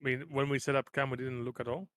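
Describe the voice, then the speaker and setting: A man with a medium-pitched voice chats casually as a tabletop game player. The sound is dry, with hardly any room on it. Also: flat delivery, fast, a bit bright, no room sound.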